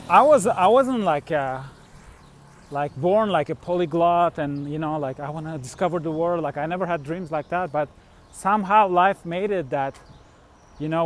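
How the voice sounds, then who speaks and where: A middle-aged man from Turkey and Switzerland tells personal travel stories casually, in a halting, rambling way with trailing thoughts. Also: on the high side, a little hiss or hum. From born in Turkey, grew up in Switzerland. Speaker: vlogger, musician and traveler. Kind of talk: casual unscripted personal storytelling.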